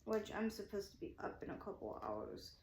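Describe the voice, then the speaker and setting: A woman's voice: medium pitch, very animated, normal speed, darkish tone, a little hiss or hum. A woman in her twenties telling a story casually, rambling and repeating herself as she quotes her own thoughts. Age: 20s. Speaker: vlogger. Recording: echoey room.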